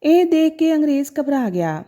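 Female narrator for sentimental moral stories, mellow tone, very lively pitch movement. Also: normal speed. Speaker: storyteller. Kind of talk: scripted dramatic narration of a sentimental moral story.

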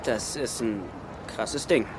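An actor in a German film dub playing a nervous, wisecracking young treasure-hunting sidekick, sarcastic and prone to stammer. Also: male voice, mid-range voice, slow, background noise, close to an everyday voice. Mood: alarmed, flustered. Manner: sarcastic, stammering when agitated.

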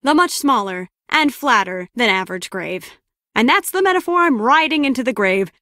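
A woman's voice: on the high side, animated, normal speed, bright, forceful tone, dry recording. An actress playing a hard-nosed, sardonic female spy in a video game, with a dry, wry manner. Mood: grimly wry.

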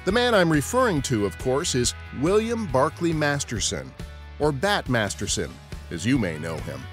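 American guy narrating a podcast, lively up and down voice, faint guitar behind. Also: medium pitch, normal speed. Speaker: podcast host. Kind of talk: scripted narration. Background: guitar music.

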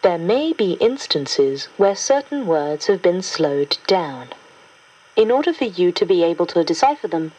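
A woman playing a formal, impersonal agency instructor, reading scripted instructions in a measured, official way on a distant cassette recording. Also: lowish voice, warm tone, slightly rough. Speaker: instructional announcer. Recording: muffled, distant cassette tape.

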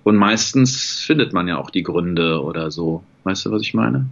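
A man in his forties, a voice actor as guest, talking reflectively over a video call from a room at home, pausing often with fillers. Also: low-pitched, expressive, gravelly, dull audio. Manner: reflective, thinks aloud with many fillers and restarts. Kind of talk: casual video-call interview.

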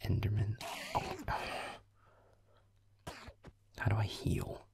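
A man playing a grumpy young werewolf boyfriend in a roleplay audio, muttering deadpan, sarcastic, sweary video game commentary in a breathy, gravelly half-whisper. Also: on the low side, normal speed. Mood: smug, taunting. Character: grumpy young male werewolf boyfriend, gamer, sulky and aloof.

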